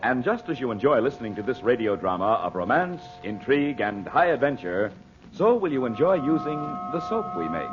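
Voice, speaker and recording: A man announces and narrates in scripted 1940s radio style. His pitch moves in a lively, animated way, over prominent music on a muffled old transcription. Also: a little fast.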